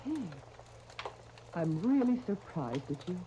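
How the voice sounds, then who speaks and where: An actress playing a patient, pleading stepmother in a 1930s radio soap opera, gentle and earnest. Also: lowish voice, big pitch swings, slow. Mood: hurt. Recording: muffled, noisy 1939 radio disc recording.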